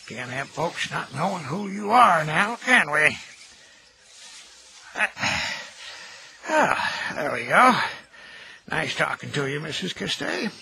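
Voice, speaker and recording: An actor playing a cheerful, chatty older cemetery caretaker with a folksy, joking, kindly manner in an audio drama. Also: male voice, on the high side, vocal fry, sharp tone, a little hiss or hum, exaggerated voice. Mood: kindly, fussing.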